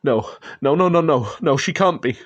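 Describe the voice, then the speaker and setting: Grieving, anxious master of a gothic house. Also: male voice, fairly high pitch, animated, moderate pace, dull audio. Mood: distraught disbelief. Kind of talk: gothic radio drama acting.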